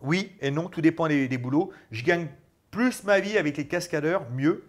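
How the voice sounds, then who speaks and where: A man's voice: on the high side, moderate pace. A man in his forties, a guest chatting casually and animatedly in a small studio lounge, on a lapel mic. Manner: animated, gestures a lot while talking. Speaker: guest, former fighter turned actor and stuntman. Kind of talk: casual interview chat.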